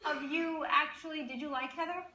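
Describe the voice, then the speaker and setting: TV judge, woman, bright and probing, wry. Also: sits high, fairly even intonation, a little fast. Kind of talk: courtroom questioning. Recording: echoey courtroom.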